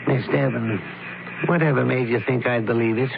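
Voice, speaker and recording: Precise doctor-sleuth on tinny old radio. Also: male voice, medium pitch, fairly even intonation, creaky. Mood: coolly accusing. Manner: measured, precise, deliberate explaining. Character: shrewd, candid older male doctor, amateur sleuth. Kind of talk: old-time radio drama acting. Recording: tinny, noisy radio drama recording.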